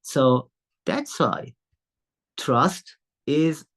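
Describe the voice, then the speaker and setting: A man from Turkey with a Turkish accent, English not his first language, giving a recorded university lecture over a video call, often checking in with "right?" and pausing on "um". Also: fairly high pitch, big pitch swings, a bit bright. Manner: frequent tag question "right?" and filler "um". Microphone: computer mic on a video call.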